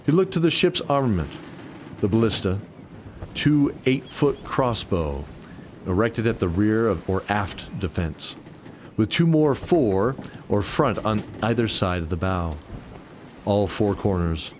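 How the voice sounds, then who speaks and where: A man's voice: on the low side, lively intonation, unhurried, a little hiss or hum. A man giving scripted narration of a fantasy adventure story in an audio drama. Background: music.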